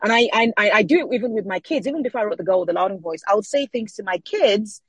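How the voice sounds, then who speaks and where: A woman's voice: mid-range voice, animated, fast, slightly rough, no echo. A Nigerian woman in her forties, an author answering interview questions thoughtfully, often repeating words as she searches for them. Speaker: author guest.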